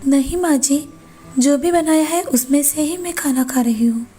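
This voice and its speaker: Gentle put-upon daughter-in-law, acted by a woman for cartoon tale: high, meek, hurt, light music underneath. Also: moderate pace, lightly acted. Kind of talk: animated moral-story dialogue.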